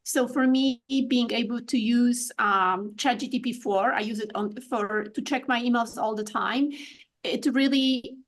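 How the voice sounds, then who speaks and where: Polish-accented woman, higher voice. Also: fairly even intonation, measured pace. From Poland. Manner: thoughtful, many fillers and restarts. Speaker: guest artist. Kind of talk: podcast interview.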